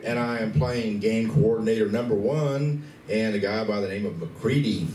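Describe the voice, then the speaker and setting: Male cast member, mellow and casual, some distance from the mic with faint noise. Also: lowish voice, normal speed. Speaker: radio play cast member. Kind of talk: casual live chat. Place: convention event room. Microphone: shared event microphone. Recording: echoey distant live room, faint noise.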